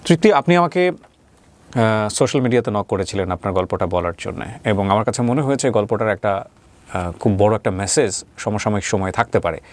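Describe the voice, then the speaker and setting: A man's voice: fairly low pitch, lively intonation, a little fast. A man with a Bangladeshi accent hosting a conversational interview, asking short probing questions and echoing answers back. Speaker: interviewer and host.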